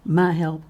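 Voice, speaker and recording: An actress playing a defiant, sardonic neighbor in an audio drama, speaking with pointed, indignant emphasis. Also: female voice, sits low, dark tone, full character voice. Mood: wary, challenging. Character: defiant, sardonic woman neighbor, standing her ground. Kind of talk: audio fiction drama acting.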